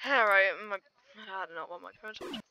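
A woman's voice: medium pitch, brisk, pushed and bright, slight background noise. A young woman giving casual, rambling gameplay commentary with dry, playful asides. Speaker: gaming video host.

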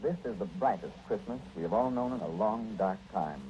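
A man's voice: on the low side, animated, brisk, mellow, slight background noise. A man with an American accent announcing in a formal, declamatory 1940s radio style from a script. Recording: muffled vintage 1940s radio broadcast.

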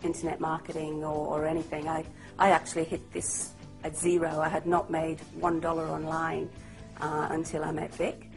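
A woman's voice: low-pitched, monotone, moderate pace. A middle-aged woman from Australia, giving an earnest, upbeat testimonial. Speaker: customer testimonial. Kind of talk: testimonial to camera. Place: indoors, high-rise room with window view. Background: soft music.

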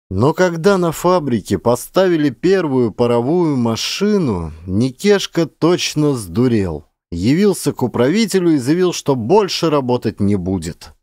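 A man narrates literary fiction like a one-actor audio play. His mid-pitched voice moves widely up and down, leaning on key words and pausing for effect. The sound is dry, with no room around it. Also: no room sound. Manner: expressive storytelling with shifting emphasis and pauses. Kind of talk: dramatic scripted literary narration.

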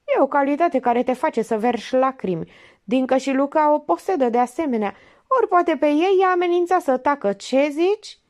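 A woman voicing a sharp, sarcastic older female amateur detective who needles a suspect with pointed, mocking remarks. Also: high-pitched, animated, voice pushed up.

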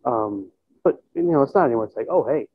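Husky man on a tinny call. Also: mid-range voice, expressive, moderate pace, no room sound. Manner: conversational, thinking aloud, checks in with "you know" and "right?". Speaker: musician and school band teacher being interviewed. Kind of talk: casual reflective interview talk. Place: indoors in a plain room on a video call. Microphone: earbud headset. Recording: tinny, band-limited video call audio.

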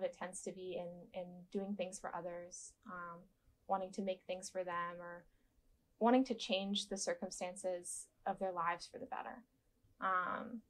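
A young woman with a soft voice giving gentle, thoughtful interview answers with frequent ums and restarts, seated in a dark studio. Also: medium pitch.

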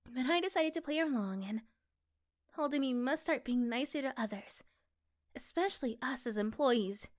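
A woman playing an affectionate, reassuring young girlfriend in a soft, gentle ASMR roleplay. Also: sits high, lively intonation, moderate pace. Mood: storytelling. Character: affectionate, reassuring young girlfriend chatting intimately to her partner.

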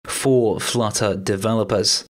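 A man's voice: lowish voice, a little slow. Man with a cold explaining code. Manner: relaxed walkthrough narration, explaining step by step. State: has a cold, losing his voice a little. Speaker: programming tutorial host. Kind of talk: screen-recorded coding tutorial. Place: voice-over of a screen recording.

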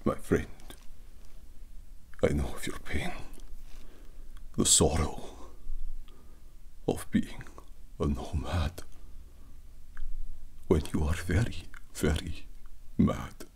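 Melodramatic vampire prince, half-whispered and very slow, grandiose ASMR monologue. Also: male voice, extremely low pitch, darkish tone, slightly rough, heavily acted. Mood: mournful, grandiose. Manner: theatrical, halting, grandiose. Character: pompous, melodramatic male vampire, exiled crown prince.

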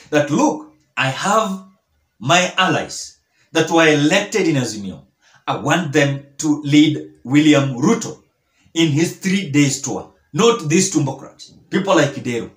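Animated high-pitched young Kenyan man. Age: young adult. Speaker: political commentary vlogger. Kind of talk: direct-to-camera news commentary. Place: small bare-walled room indoors. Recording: echoey small room.

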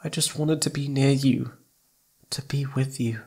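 A man voicing a shy, adoring young boyfriend in an ASMR roleplay, with soft, breathy, pleading, hesitant speech. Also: mid-range voice, steady tone, a little slow. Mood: longing, tender.

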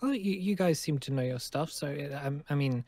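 A man's voice: fairly high pitch, normal speed, mellow. A man improvising game roleplay in a hesitant, stammering way.

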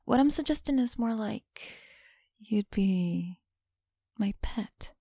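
Woman doing a mean tsundere college girl for asmr, close dry mic, creaky, smug n teasing, goes whispery. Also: medium pitch, expressive, slow pace, warm tone, dry recording, full character voice. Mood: bossy, matter-of-fact. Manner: smug, teasing, often dropping into breathy whispers. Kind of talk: ASMR roleplay, close and intimate.

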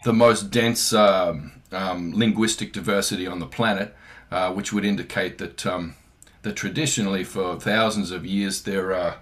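A man's voice: low voice, fairly even intonation, normal speed, a bit bright. A man from far north Queensland with an Australian accent, hosting a casual video call from his home in a playful, joking manner. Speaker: host. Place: home room.

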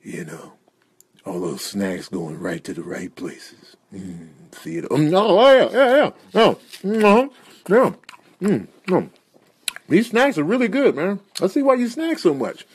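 A man's voice: sing-song, moderate pace, husky. A man voicing a sly predatory cat who feigns friendliness, in a comic animal voice-over. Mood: sly, hungry. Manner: swings from creepy low purr to hasty backtracking.

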